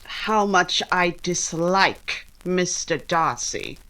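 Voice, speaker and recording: An actress playing a cool, witty, self-possessed young gentlewoman in 1940s radio drama style. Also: on the low side, animated, drawn-out words, noisy background, heavily acted. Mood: dreamy, dry.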